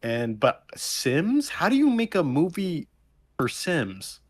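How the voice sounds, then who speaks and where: A man's voice: a little high, wildly swooping pitch, takes it slowly. Man with a mid-range voice, casual podcast banter. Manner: rambling, profane, slangy. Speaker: podcast co-host. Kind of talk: casual group podcast chat.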